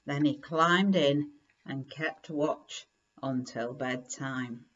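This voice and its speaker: A middle-aged woman reading a children's picture book aloud in a deliberate, expressive way at home. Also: low voice, highs cut off. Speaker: story reader. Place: living room at home, indoors.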